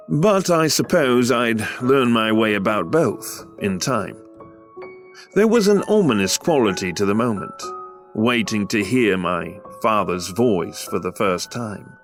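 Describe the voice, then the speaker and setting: An actor playing a polite, reserved young English heir, speaking in courteous, formal phrases in an audio drama. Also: male voice, lowish voice. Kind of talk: scripted audio drama with first-person narration.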